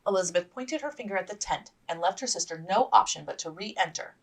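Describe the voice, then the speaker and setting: A woman narrates a period novel as its author, reading from the page. Her voice is on the low side with plenty of gravelly fry. She sounds a little distant from the microphone. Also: expressive, fairly quick. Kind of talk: scripted audiobook narration.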